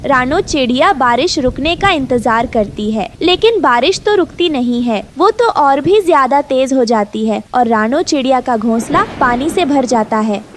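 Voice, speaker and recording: A woman's voice: high-pitched, animated, moderate pace, hardly any pauses, slight background noise. A woman reading scripted narration for a children's story.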